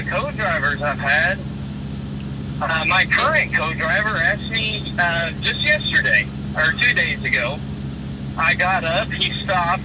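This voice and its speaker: A young man telling stories casually and haltingly as a rookie truck driver in a phone interview. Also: high-pitched. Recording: phone call, loud vehicle road noise. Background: vehicle noise.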